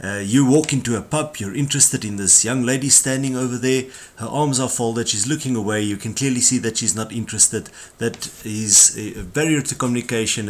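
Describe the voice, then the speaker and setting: A man with a South African accent giving a communication skills tutorial, explaining ideas in a conversational way with emphatic asides. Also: medium pitch. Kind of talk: tutorial lecture.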